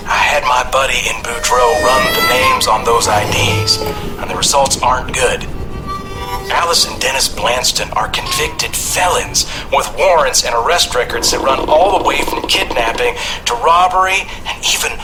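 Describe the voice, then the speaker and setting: A male actor voices a helpful small-town man with a drawl. He speaks casually and neighborly, as in a video game adventure, at a middling pitch with clear music underneath. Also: measured pace, natural voice, poor audio. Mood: urgent, warning. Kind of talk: video game dialogue acting.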